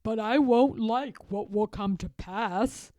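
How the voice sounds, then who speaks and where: A woman's voice: fairly high pitch, slow, dark tone, no room sound, clean studio sound. A woman voicing an exasperated, fretful male peddler from a storybook, with an emphatic, wailing delivery. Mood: grudging. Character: exasperated, fretful male cap peddler who loudly complains. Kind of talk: storybook character voice.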